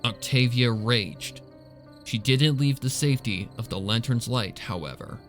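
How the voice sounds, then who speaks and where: Man narrating a story over soft music. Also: medium pitch, a little slow. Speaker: fan fiction reader and narrator. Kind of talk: scripted dramatic story narration.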